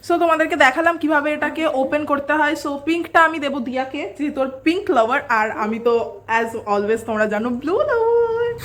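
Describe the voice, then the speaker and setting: A woman's voice: high-pitched, expressive, quick pace, fairly bright tone. A woman giving a casual, explanatory product review to viewers, mixing in English words. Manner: explanatory, addressing viewers directly. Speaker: vlog host and product reviewer.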